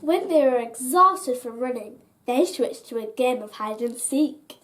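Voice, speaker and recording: A woman reading a children's bedtime story aloud in an expressive, deliberate way, as a storyteller. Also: fairly high pitch.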